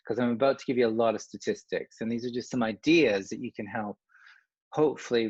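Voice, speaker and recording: A man's voice: medium pitch, a little mellow, husky, no room sound, dull audio. A Canadian man, a coach, giving an online workshop presentation in a conversational way, with restarts and asides.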